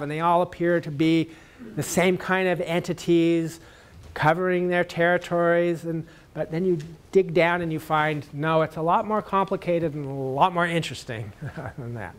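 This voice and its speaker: A man with a somewhat high voice answers questions after his lecture. He talks loosely and conversationally, often restarting and filling with "uh" as he thinks. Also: steady tone, normal speed. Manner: conversational, with many fillers and restarts. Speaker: speaker answering audience questions.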